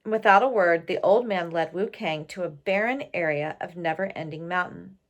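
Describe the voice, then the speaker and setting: A woman's voice: fairly low pitch, muffled recording. A woman reading a storybook aloud expressively to listeners, engaging them like a teacher. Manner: pauses to question listeners. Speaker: storybook reader.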